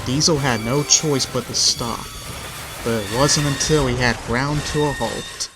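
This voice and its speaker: A man's voice: medium pitch, unhurried. A man narrating a scripted children's story in the third person. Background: background music.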